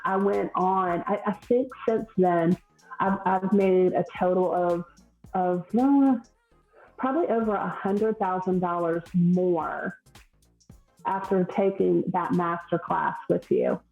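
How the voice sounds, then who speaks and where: A woman with a Southern US accent, a hairstylist talking as a podcast guest in an earnest, halting way full of restarts. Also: on the low side, reverberant, narrow, tinny audio, faint music behind. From Southern United States. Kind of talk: podcast conversation.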